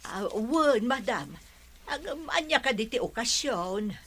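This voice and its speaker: A meek, obedient older housemaid in a radio drama, with an Ilocano Filipino accent. The voice is sharp and bright, with lively rises and falls. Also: female voice, fairly high pitch, measured pace, breathy edge, heavily acted. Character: deferential older household servant woman, obedient.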